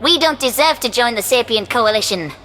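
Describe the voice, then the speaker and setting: A man's voice: very high-pitched, expressive, a little fast, pushed and bright, faint music behind, heavily acted. A man voicing a humble, grateful alien governor giving a formal speech in a sci-fi audio story, with long hall reverb.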